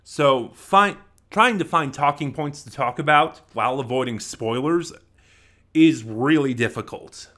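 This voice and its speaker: A man in his twenties with a bright, forceful voice at a middling pitch talks through a review without a script. He halts to think aloud and often corrects himself. He speaks into a desk microphone in a small room at home. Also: a little slow. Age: 20s. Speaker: audio drama reviewer. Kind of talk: unscripted review to camera. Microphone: desk microphone close in front.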